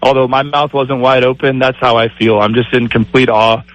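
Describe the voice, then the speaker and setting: A middle-aged man, an athletic director guest on a radio show, speaking with a raspy voice in a grateful tone with many fillers. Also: medium pitch, flat delivery, normal speed, no echo, highs cut off. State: raspy from screaming. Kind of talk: phone-in radio interview.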